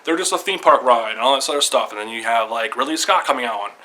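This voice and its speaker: A man giving casual, rambling opinions to viewers in an excitable fan's manner, often repeating himself. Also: mid-range voice, quick pace, slight background noise. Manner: excitable fan talk, repetitive, loosely structured. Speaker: video creator giving opinions.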